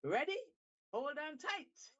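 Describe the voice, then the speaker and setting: An eager male shark from a storybook, acted out by a woman, coaxes with emphatic persuasion. The voice is lifted high and swoops about in a slow, drawn-out delivery. The recording is a little dull. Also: sing-song, exaggerated voice. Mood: eager, coaxing. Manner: coaxing, emphatic.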